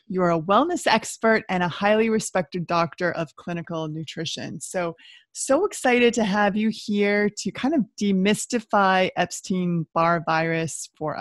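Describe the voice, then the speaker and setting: A woman's voice: on the low side, no echo. A woman hosts a podcast interview in an upbeat, chatty way, with plenty of "um"s and "uh"s. The recording is dry and close, with no room sound. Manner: enthusiastic, chatty with fillers. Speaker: podcast host.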